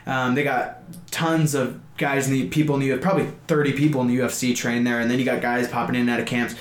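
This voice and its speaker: A man's voice: mid-range voice, little pitch movement, quick pace. A man aged twenty in a casual interview chat, talking in a rambling, slangy, enthusiastic way as a fighter. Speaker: amateur MMA fighter being interviewed. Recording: echoey room with faint background noise.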